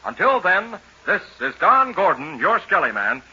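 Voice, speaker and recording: A male old-time radio announcer with a fairly high, very bright voice and lively swoops. He reads scripted narration and ads emphatically. The recording is muffled. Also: normal speed, husky. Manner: emphatic, dramatic.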